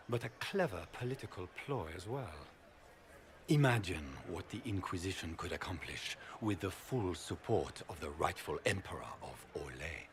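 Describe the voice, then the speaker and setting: An actor playing a proud, scheming noble duke in a video game, with formal, courtly, theatrical speech. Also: male voice, lowish voice.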